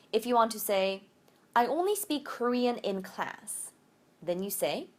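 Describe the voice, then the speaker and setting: Lady teacher explaining grammar w example sentences, highish voice, really lively up n down intonation. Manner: explanatory, switches between English and Korean examples. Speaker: Korean language teacher. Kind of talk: instructional lecture with example sentences.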